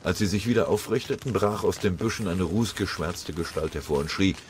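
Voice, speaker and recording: A man's voice: sits low, fairly quick, slight background noise. A man reading a dramatic war story as scripted audiobook narration.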